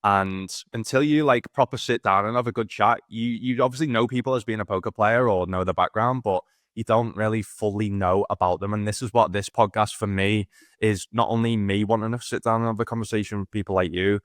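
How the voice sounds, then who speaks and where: A man's voice: lowish voice, steady tone, a little fast, no echo, clean studio sound. A man hosting a casual poker podcast, asking long, rambling, friendly questions. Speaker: podcast host. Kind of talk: casual interview chat.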